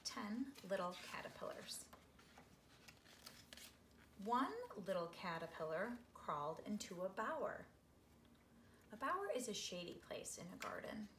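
A middle-aged woman reading a picture book aloud to children, with expressive, drawn-out phrasing and questions for her young listeners. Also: medium pitch. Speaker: read-aloud storyteller for children. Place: small living room, seated on a couch. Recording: roomy home recording with background noise.

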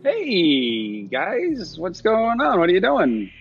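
A man's voice: sits high, wildly swooping pitch, takes it slowly, creaky, slightly rough, dull audio, soft background music, full character voice. A man acting an anxious, flustered young man who stammers nervously, at a live comedy script read. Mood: curious, needy.

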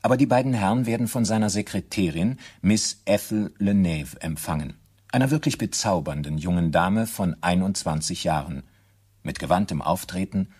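An actor playing the measured, articulate narrator of a crime radio play. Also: male voice, sits low, a little mellow, breathy edge. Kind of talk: scripted radio drama narration.

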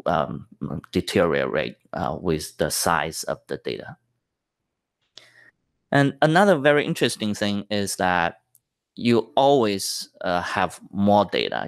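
A man's voice: low-pitched, no echo, clean studio sound. A man giving a technical conference talk over slides, explaining step by step with many fillers and restarts. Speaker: tech presenter.

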